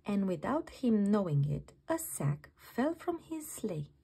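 Animated, unhurried woman reading a storybook. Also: medium pitch, vocal fry, breathy edge. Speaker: children's book reader. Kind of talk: expressive bedtime story read-aloud.

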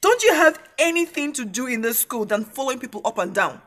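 A haughty young Nigerian student played by a woman in an audio drama. Her voice is fairly high, and she speaks with sarcastic, confrontational bite. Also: very animated, brisk, fairly bright tone, heavily acted. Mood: mocking. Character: haughty, sharp-tongued young female student.

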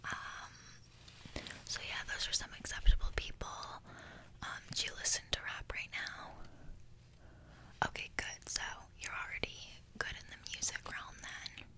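A young woman voicing a smug, materialistic hype beast in an ASMR roleplay, whispering softly in a casual, bragging drawl close to the microphone. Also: measured pace. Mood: casual, instructive. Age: young adult. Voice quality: soft breathy whisper. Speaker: ASMR creator. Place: small bedroom, indoors. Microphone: close desk microphone.

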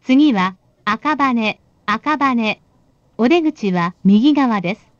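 A woman giving calm, polite recorded announcements for a train. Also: fairly high pitch, drawn-out words, no echo. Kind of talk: recorded on-board station announcements. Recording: dull recording from inside a train.

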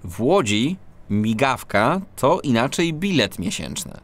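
A man playing an eager, quick-witted cat police detective who narrates a children's audio drama. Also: medium pitch, sing-song, fairly bright tone. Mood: excited. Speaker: lead character and narrator.

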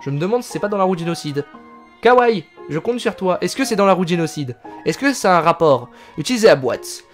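A man's voice: high voice, big pitch swings, a little fast, faint music behind. Chatty young man streaming a game. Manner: chatty, reads game text aloud, answers chat. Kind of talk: casual live gameplay commentary. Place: indoors, gaming chair at home.

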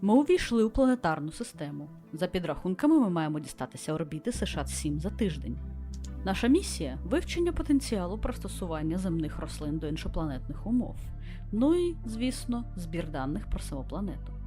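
Female starship captain read by a woman, formal and measured log entries for a visual novel, expressive pitch movement, faint music underneath. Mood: composed, factual. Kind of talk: scripted narration read aloud from a visual novel.

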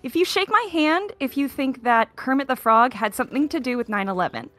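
Woman voicing a high, chatty, cheerful girl. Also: lively intonation, brisk, fairly bright tone. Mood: friendly. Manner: casual, friendly. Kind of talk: improvised comedic fan dub.

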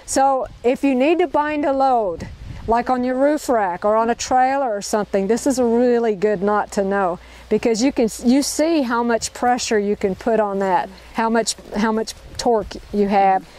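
A woman's voice: on the high side, moderate pace, a little mellow. Upbeat woman teaching knots outdoors. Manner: upbeat, repeats each step, checks in with "okay?". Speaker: knot-tying instructor. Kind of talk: hands-on outdoor demonstration. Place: outdoors in a park.

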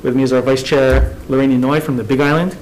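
A man runs a committee hearing in a measured, businesslike way. His voice, at an ordinary pitch, comes through a desk microphone in a government hearing room. A faint hum sits underneath. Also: flat delivery, darkish tone, rough recording. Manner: measured, businesslike, reading out agenda items. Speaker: committee chair. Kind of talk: formal hearing procedure.

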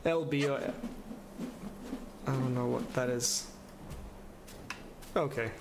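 A man's voice: mid-range voice, slow pace, vocal fry, noisy background. A young adult man giving casual playthrough commentary as a streamer, speaking into a microphone indoors. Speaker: gaming streamer. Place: small room indoors. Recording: loud game music behind voice.

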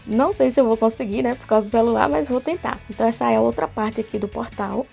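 A chatty woman vlogger talks straight to her viewers in a slightly raised, somewhat hoarse voice. She records on a phone held at arm's length in an outdoor park at night. Soft music plays in the background. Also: fairly high pitch, quick pace, dull audio. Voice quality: hoarse at times. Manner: chatty, addresses viewers directly. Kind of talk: casual vlog tour narration. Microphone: phone camera at arm's length.

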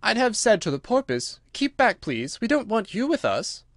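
A man's voice: high voice, sing-song, moderate pace, no echo, clean studio sound, pitched above the performer's own voice. A man voicing a polite, timid and curious young girl in a storybook, with a lilting, wondering manner. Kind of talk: storybook character voice.

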